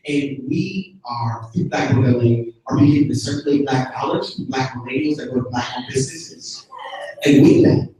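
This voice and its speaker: A man's voice: mid-range voice, normal speed, dark tone. A man speaking earnestly on a panel in a casual, slangy way about his community project. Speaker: panelist, business founder. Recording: roomy, distant sound.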